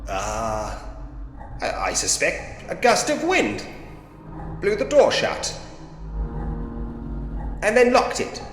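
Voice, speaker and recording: An actor playing a sceptical, dryly witty Edwardian gentleman investigator in a point-and-click mystery game. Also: high voice, wildly swooping pitch, slow pace, pauses often, exaggerated voice. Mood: uneasy. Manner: wry, muttering to himself, old-fashioned gentlemanly phrasing. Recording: echoey hall reverb, music underneath.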